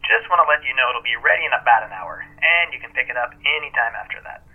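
A man as a husky tire shop worker on the phone. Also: mid-range voice, expressive, quick pace. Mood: friendly, helpful. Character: friendly, chatty male tire shop worker. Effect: telephone filter. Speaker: actor, tire shop caller. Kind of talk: audio drama acting, casual service phone call. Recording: muffled band-limited phone line.

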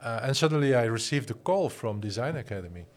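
A man's voice: medium pitch, lively intonation, quick pace, slight background noise. A man in his forties with a Flemish accent, a Dutch speaker talking about his career in an interview, hesitant and full of restarts. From Flanders, Belgium. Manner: hesitant, many restarts and fillers. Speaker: guest, design school director and musician. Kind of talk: casual interview talk.

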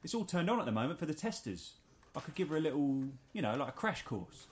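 A man's voice: on the high side, sing-song, fast talker. An actor playing an eager, polite young male park staffer, tentative in manner, in audio drama style.

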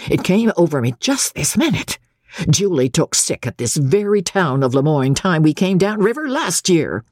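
A woman's voice: low-pitched, husky, dry recording, clean studio sound, pitched above the performer's own voice, exaggerated voice. A woman voicing a domineering, suspicious riverboat wife, blunt and accusing, in an audiobook story.

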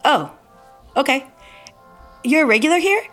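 A woman's voice: sits high, expressive, measured pace, fairly bright tone, soft background music. A woman playing a weary, blunt job applicant in a naturalistic audio drama, wary and candid. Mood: puzzled, obliging.

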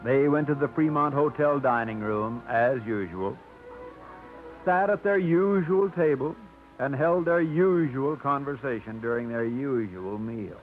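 A man giving measured, dry narration for a 1950s radio drama. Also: mid-range voice. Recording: tinny old radio with music.

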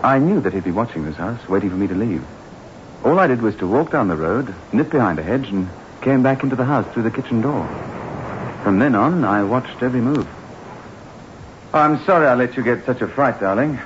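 A man acts a composed husband in an old suspense radio play. The mid-range voice is clipped and practical. The recording is dull, with clear noise behind it. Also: mid-range voice, animated, fairly quick, close to an everyday voice. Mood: tender. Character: level-headed husband who outwitted a killer. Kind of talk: 1960s radio drama acting. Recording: noisy muffled 1960s radio recording.

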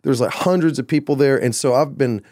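A man's voice: mid-range voice, brisk, darkish tone, no room sound. Rambling musician man, dry sound. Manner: rambling, loose, full of "like" and "you know". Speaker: musician guest. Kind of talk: casual conversation.

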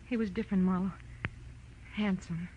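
A woman's voice: medium pitch, fairly even intonation, moderate pace, natural voice. Woman playing an anxious young wife, muffled old radio. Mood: pleading. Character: anxious, pleading, beautiful young unfaithful wife. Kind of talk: 1940s radio acting. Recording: dull, noisy old radio recording.

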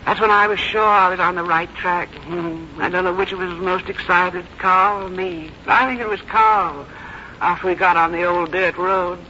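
An actress playing a plain-spoken old country mother, folksy, wry and quietly determined, in 1950s radio drama style. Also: fairly low pitch, steady tone, normal speed. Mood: pleased, reminiscing. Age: older. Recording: dull, noisy 1950s radio recording.

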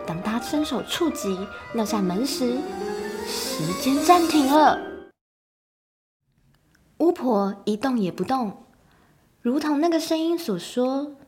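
A woman narrator reading a scripted children's story expressively. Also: on the high side. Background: music.

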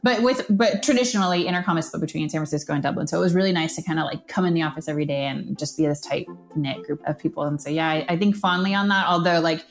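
A woman's voice: low voice, fast talker, few pauses, faint music behind. A woman giving casual, conversational interview answers about her work as a marketing manager.

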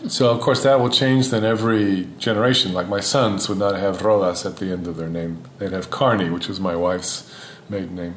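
A man with a low voice who explains things calmly and carefully, often pausing on an "uh". He sounds like he is in a roomy space, with a faint hum of ambient noise behind him. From Houston, Texas, United States. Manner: thoughtful, measured explaining with frequent fillers. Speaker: guest, Old Testament scholar. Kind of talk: podcast interview.

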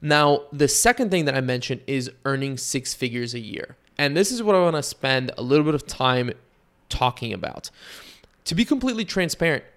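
A young man from Bulgaria hosting a solo podcast and talking to his listeners in a relaxed, conversational way. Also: medium pitch, moderate pace. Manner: conversational, with fillers and asides to listeners. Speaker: podcast host. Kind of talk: casual solo podcast monologue.

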